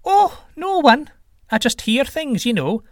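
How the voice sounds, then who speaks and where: A man's voice: extremely high pitch, sing-song, measured pace, no room sound, clean studio sound, voice pushed up, exaggerated voice. A man voicing a sly male trickster god who teases and smirks. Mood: teasing. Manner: teasing, smirking.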